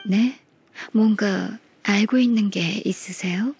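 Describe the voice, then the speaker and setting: An actress playing a composed, shrewd senior secretary in a video game, speaking politely and in a measured, matter-of-fact way. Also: female voice, medium pitch, vocal fry, darkish tone, husky, exaggerated voice. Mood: coolly coaxing. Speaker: game character voice actor. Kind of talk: video game story voice acting.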